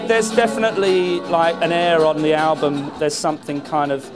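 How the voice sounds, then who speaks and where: A man's voice: on the high side, little pitch movement, moderate pace. A man, a band musician, giving casual interview answers in an informal, rambling way. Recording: clear music playing behind. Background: music at a live event.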